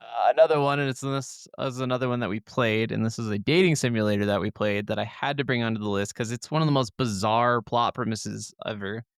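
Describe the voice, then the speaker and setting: Clear-voiced man in casual podcast chat. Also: medium pitch, fairly quick, hardly any pauses, dry recording, clean studio sound. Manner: casual, conversational, some swearing. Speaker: podcast co-host.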